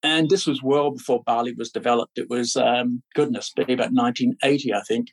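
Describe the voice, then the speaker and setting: A middle-aged man born in Scotland and raised in Australia, a podcast guest chatting in a relaxed, rambling way with many fillers. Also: medium pitch, fairly quick, husky, rough recording. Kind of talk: casual interview chat.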